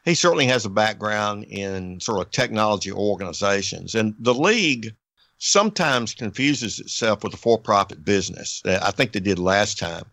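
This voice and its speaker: American guy on a podcast panel, gravelly and sharp, dry sound, measured opinions. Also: on the low side, expressive, no room sound. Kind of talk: measured conversational commentary.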